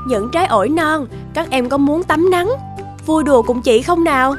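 A woman's voice: sits high, moderate pace, lightly acted. A woman voicing a kind, playful young sunshine fairy in a children's cartoon. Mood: sweet, coaxing. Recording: music behind the voice.

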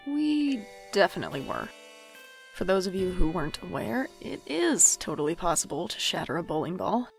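An actor playing a wry, self-deprecating young adult in an audio drama, speaking and narrating in a dry, deadpan way. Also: medium pitch, moderate pace, creaky, faint music behind.

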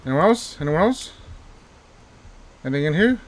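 A man's voice: on the high side, very animated, moderate pace. Male let's-play host, casual and self-mocking, repeats phrases under pressure. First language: not English, by his own joke. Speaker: gaming YouTuber.

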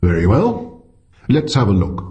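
A man voicing a learned senior astronomer with a measured, formal and courteous manner, in a story reading. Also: on the low side, huge pitch swings, dark tone, lo-fi sound. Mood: polite.

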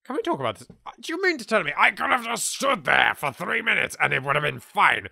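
A man with an English accent commentating casually on a game he plays, reacting loudly and joking. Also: high-pitched, fast talker, vocal fry, husky, no room sound, studio quality. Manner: animated, exclaiming, swearing in jest. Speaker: let's play host.

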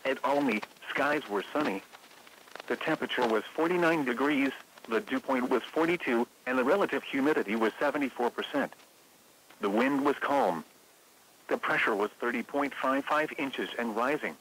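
A synthetic man's voice with an American accent reads scripted weather forecasts as a radio announcer, measured, impersonal and formulaic. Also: mid-range voice, sing-song, gravelly, fairly bright tone. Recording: noisy weather radio broadcast reception.

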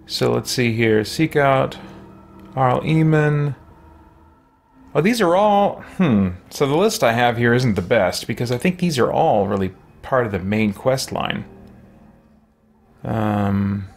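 Creaky-voiced male game streamer. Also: mid-range voice, animated, takes it slowly, pauses often. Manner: musing, thinking aloud. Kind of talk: casual gameplay commentary. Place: small room with shelves. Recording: faint background noise.

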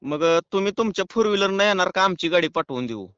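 A muffled, dry phone recording of a man with a rural western Maharashtra accent. His voice is fairly high and clear, and he talks casually with halting, hesitant phrasing. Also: expressive, a bit bright, no room sound. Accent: rural western Maharashtra Marathi. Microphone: phone call.

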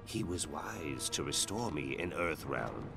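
An actor playing a haughty, cold evil god in a video game, imperious and disdainful in his measured delivery. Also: a bass voice, big pitch swings, vocal fry, music in the background, heavily acted. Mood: gloating disdain. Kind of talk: dramatic video game villain acting.

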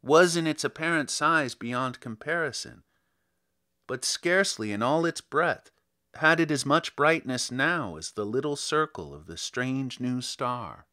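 Slow, dramatic male narrator with animated pitch. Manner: theatrical, deliberate, with drawn-out phrasing and pauses. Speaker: narrator reading a short story. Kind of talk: dramatic audiobook narration of literary fiction.

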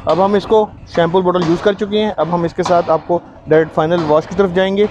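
A man's voice: high voice, steady tone, quick pace, muffled recording, music in the background. A man with a Pakistani accent giving an instructional live product demonstration outdoors, explaining as he goes. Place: outdoors, on a street and a rooftop.

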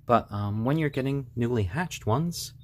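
A man with a slightly hoarse voice, chatting casually and gently on a live stream from a room at home, in halting explanations and pet talk. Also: mid-range voice, expressive. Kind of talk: casual chat on a live stream, with cooing to pets. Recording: clear background noise, bird sounds.

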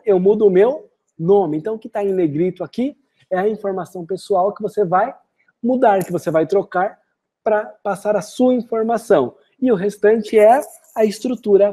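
A Brazilian-accented man teaches English phrases in a screen-recorded lesson. His voice is dark and mellow with a breathy, husky edge. He speaks the example sentences slowly and carefully before translating them. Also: sits high. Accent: Brazilian Portuguese. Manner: slow, deliberate model phrases alternating with translations.

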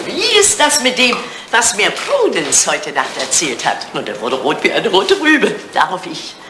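A woman's voice: fairly low pitch, full character voice. An actress playing an elegant, teasing older English lady in a retro radio comedy, telling things frankly and theatrically. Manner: animated, theatrical, teasing storytelling. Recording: roomy, noisy vintage radio play recording.

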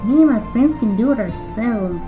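A woman's voice: fairly high pitch, lively intonation, normal speed, lightly acted. A woman voicing a scheming young farm filly in a fan audio drama. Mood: coaxing. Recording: slightly dull audio, faint music.

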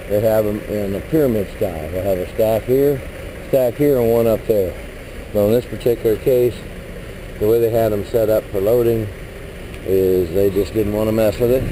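A man, a truck driver, talking casually and rambling as he walks around his flatbed load outdoors, recorded on a body-worn camera. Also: fairly low pitch, slight background noise, rough recording. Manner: rambling, plain-spoken, mild cursing. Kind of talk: casual walkaround vlog. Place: outdoors at a truck lot beside a flatbed trailer. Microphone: body-worn action camera.

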